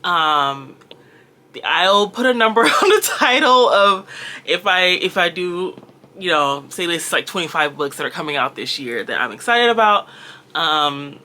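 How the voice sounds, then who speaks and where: Woman w a valley girl accent, super bright voice, chatty book vlogger, lots of ums and laughs. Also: lowish voice, expressive, unhurried. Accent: American Valley girl. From Jamaica. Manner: chatty, rambling, with frequent fillers and laughs. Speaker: book reviewer. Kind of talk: casual chat.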